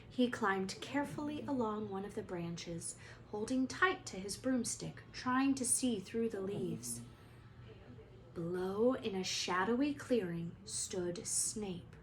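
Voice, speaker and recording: Female storyteller for expressive read-aloud narration, mid pitch, slightly noisy home recording.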